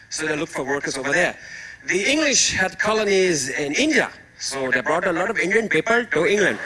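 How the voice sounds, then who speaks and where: High-voiced man doing stand-up to a crowd. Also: low-quality recording. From Germany. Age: 30s. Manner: storytelling with joking asides and mock-broken quotes. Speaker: stand-up comedian. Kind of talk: stand-up routine. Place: comedy stage before a live audience. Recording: crowd audible in a live venue.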